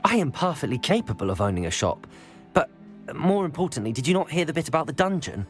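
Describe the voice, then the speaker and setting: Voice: mid-range voice, big pitch swings, quick pace, faint music behind, natural voice. An actor playing an earnest, self-assured young student hero in a fantasy video game. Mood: indignant. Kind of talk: video game character acting.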